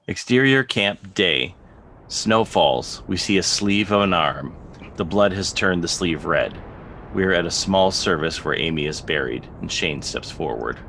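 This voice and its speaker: A man's voice: deep voice, animated, unhurried, bright, forceful tone, a little hiss or hum. A man reading scripted drama narration as the narrator on a video call. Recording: video call under clear music.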